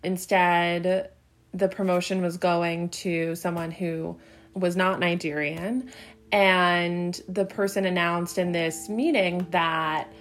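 Slow, careful woman attorney. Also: lowish voice. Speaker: employment attorney. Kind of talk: interview.

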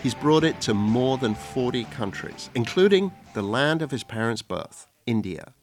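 A male radio host asking questions in a measured, thoughtful way. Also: medium pitch, expressive, soft background music. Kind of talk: radio interview.

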